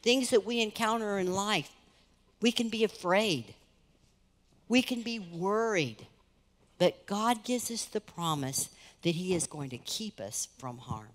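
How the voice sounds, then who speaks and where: A middle-aged woman pastor preaching on a church stage, earnest and conversational, her voice rising and softening as she speaks. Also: mid-range voice, unhurried. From United States. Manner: earnest, conversational preaching with lively rises and soft drops. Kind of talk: sermon. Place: large church stage, indoors. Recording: reverberant church hall.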